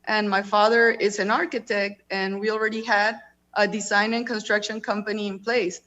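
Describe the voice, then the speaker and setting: A woman's voice: mid-range voice, level tone, moderate pace, fairly bright tone, dull audio. Woman with a Mexican accent, "you know" a lot. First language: Spanish. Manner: casual, peppered with "you know". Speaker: real estate leasing manager. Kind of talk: panel discussion.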